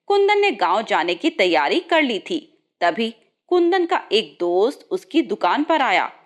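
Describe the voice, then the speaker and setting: A woman's voice: a little high, very animated, a little slow. A woman reading a scripted moral story as its narrator.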